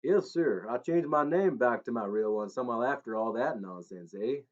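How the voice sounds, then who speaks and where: A man's voice: mid-range voice, animated, normal speed, close to an everyday voice. A man voicing a paunchy middle-aged driver who claims to be Elvis, crooning with a put-on Southern twang. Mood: conspiratorial. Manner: crooning, swaggering, conspiratorial. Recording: slightly dull recording.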